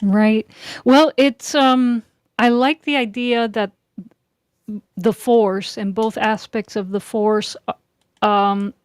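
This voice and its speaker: A woman talking reflectively in a casual discussion, measured and deliberate, pausing between phrases. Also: a little high, steady tone, clean tone, no room sound, clean studio sound.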